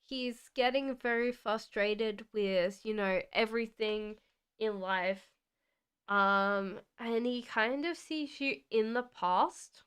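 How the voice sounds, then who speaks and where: Aussie-british lady doing tarot on a stream, slow and chatty, reads out comments, laughs a lot. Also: fairly high pitch, a bit bright, clear, resonant tone. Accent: Australian mixed with British. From Australia. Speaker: tarot reader. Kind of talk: live-stream tarot reading. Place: home in the UK.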